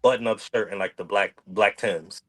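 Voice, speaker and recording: A man's voice: fairly low pitch, steady tone, moderate pace, fairly bright tone, no echo. A middle-aged American man from Detroit and New York chatting casually in slang about old times. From Detroit and New York, United States. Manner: slangy, conversational. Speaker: livestream panelist. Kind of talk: casual nostalgic chat.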